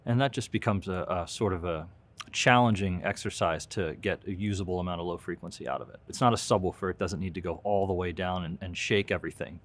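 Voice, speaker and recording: A man talking as an engineering expert in an interview, in a plain, instructive way. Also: low voice. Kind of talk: explanatory interview talk.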